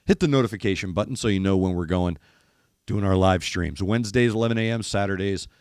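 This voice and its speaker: An older man, a former rock radio DJ, hosts a music reaction show. He is losing his voice and sounds gravelly, speaks emphatically, and mimics drum hits while talking. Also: deep voice, quick pace, a little mellow, no room sound, studio quality. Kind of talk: casual music commentary. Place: studio in east Nashville.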